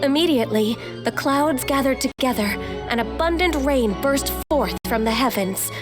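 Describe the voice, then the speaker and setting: A woman voicing a gentle, earnest young half-immortal secretary in a video game, speaking courteously and formally. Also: high-pitched, expressive, music in the background. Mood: solemn storytelling. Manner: courteous, formal, measured explaining.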